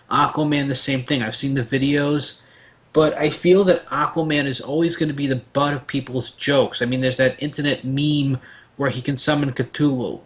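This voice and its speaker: A man's voice: mid-range voice, fairly bright tone. Male panelist, medium voice, casual and opinionated, blunt irreverent delivery. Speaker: debate panelist.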